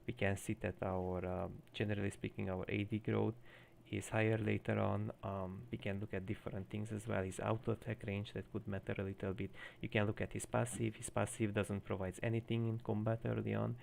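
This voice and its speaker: A man's voice: fairly low pitch, level tone, brisk, gravelly, darkish tone, slight background noise. A man with a Hungarian accent coaching a player live on stream, explaining at length with many fillers. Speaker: game coach.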